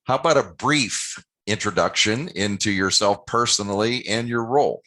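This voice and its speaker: A man's voice: fairly low pitch, normal speed, sharp tone. A man hosting a podcast interview, asking questions in a friendly, encouraging way. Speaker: podcast host.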